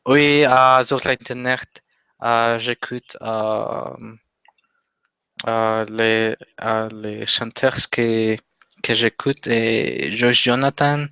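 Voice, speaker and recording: Teen guy, slow hesitant talk, bright super clear voice. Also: mid-range voice, steady tone, dry recording. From Mexico. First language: Spanish. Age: teens. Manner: hesitant, many pauses and fillers. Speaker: interview guest, language learner. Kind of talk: casual interview chat.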